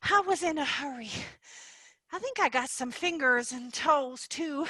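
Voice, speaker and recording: A woman's voice: high-pitched, animated, measured pace, bright, forceful tone, dry recording, exaggerated voice. A woman playing a cheerfully gruesome female tooth fairy in a stage play. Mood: gleeful.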